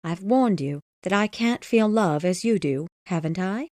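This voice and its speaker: A man's voice: sits high, animated, a little slow, darkish tone, dry recording, clean studio sound, pitched above the performer's own voice. A man voicing a cool, detached young woman. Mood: cold. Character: cold proud young woman, unfeeling. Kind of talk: storybook reading.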